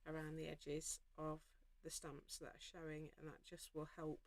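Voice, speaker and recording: Casting: woman, lowish voice, calm practical craft host chatting while she sews. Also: moderate pace. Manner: practical, reassuring, thinks aloud while stitching. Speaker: host of a live stitch-along. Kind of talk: casual live craft demonstration.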